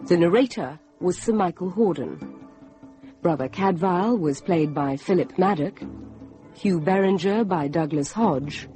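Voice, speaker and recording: A woman's voice: on the low side, warm tone. A woman reading scripted credits as a radio announcer.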